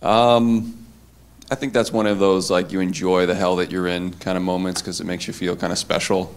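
Low-voiced man, reflective and hesitant, working through an idea. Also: level tone, normal speed, clear, resonant tone. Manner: thoughtful, hedging fillers. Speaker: church group member. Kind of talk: reflective casual talk.

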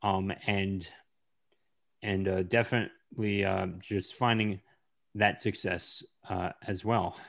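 Man, podcast host, low voice, halting, lots of filler words. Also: steady tone, creaky, fairly bright tone. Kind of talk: casual interview chat.

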